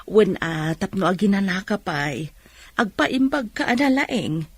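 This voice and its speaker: A woman's voice: medium pitch, sing-song, unhurried, breathy edge, no echo. A woman acting in a radio comedy drama as a fretful, protective older mother figure. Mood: caring.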